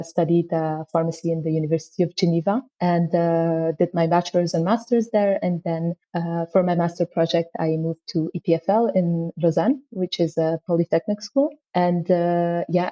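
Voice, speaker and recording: A woman's voice: fairly low pitch, fairly even intonation, normal speed, mellow. A young woman from Afghanistan, a scientist answering interview questions thoughtfully with many fillers. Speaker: guest, PhD scientist. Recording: dull lo-fi audio.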